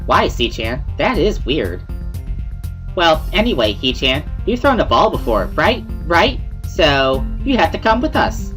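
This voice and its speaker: Bubbly teenage schoolgirl male performer, visual novel: high, loud, pushy, sing-song. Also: very animated, unhurried, soft background music. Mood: excited, teasing. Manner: exuberant, sing-song, teasing.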